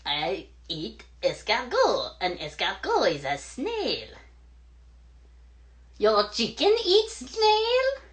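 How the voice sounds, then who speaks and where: A woman's voice: medium pitch, huge pitch swings, extremely slow, heavily acted. A woman voicing a vain, theatrical furry male creature with a French accent in a children's puppet show, preening and drawing out words.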